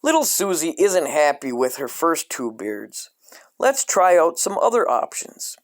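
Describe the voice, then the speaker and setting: Deadpan man reading with lively swings. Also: a little high, measured pace, dry recording, clean studio sound. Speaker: host reading a coloring book aloud. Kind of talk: comic deadpan reading with profane asides.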